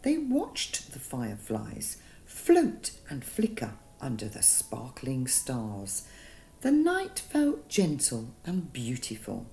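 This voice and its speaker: A woman narrating a gentle bedtime story for children, soothing and deliberate, with dramatic emphasis on single words. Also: extremely low pitch, huge pitch swings, creaky, rough recording.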